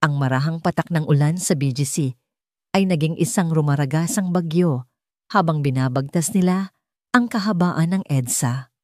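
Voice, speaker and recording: A woman's voice: sits low, normal speed, no room sound, studio quality. A woman with a Filipino accent reading a scripted dramatic story as an audiobook narrator.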